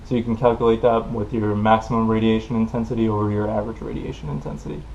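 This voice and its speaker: A man's voice: fairly low pitch, steady tone, quick pace, darkish tone, slight background noise. A man giving an informal technical explainer in a plain-spoken, loosely improvised way, as a tutorial presenter. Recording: dull, lo-fi voice recording.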